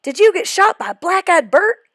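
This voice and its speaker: Casting: greedy young storybook cowboy boy, played by a woman, insistent and excitable, animated pitch. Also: normal speed, no echo, clean studio sound, heavily acted. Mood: surprised. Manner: demanding, excitable.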